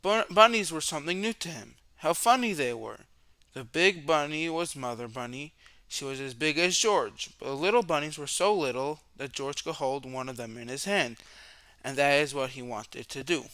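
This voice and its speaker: A man with a fairly high, very bright voice reads a picture book aloud to young children. His sound is clear and smooth, and he tells it expressively, stretching words and shaping questions with drama. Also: moderate pace, dry recording.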